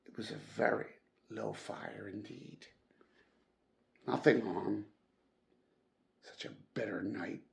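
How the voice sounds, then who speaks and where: A man narrates a storybook aloud at an extremely slow pace. His mid-range voice has a clear creak and fry to it. He phrases deliberately and theatrically, leaning hard on chosen words. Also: mid-range voice. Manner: deliberate, dramatic phrasing with emphatic stresses. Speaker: audiobook-style reader.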